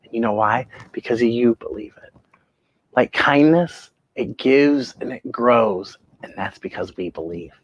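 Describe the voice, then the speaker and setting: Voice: mid-range voice, measured pace, muffled recording. A children's show host talking to young viewers in a theatrical, emphatic storytelling way, drawing out words.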